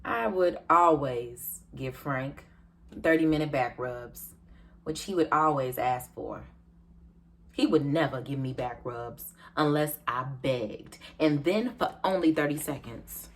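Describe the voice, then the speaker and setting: An aggrieved American wife, acted by a woman, pleads her case in a darkly comic stage monologue. Her voice sits low, and her delivery is confiding and dramatic, moving between measured explanation and emphatic self-justification. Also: exaggerated voice. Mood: wistful, confiding.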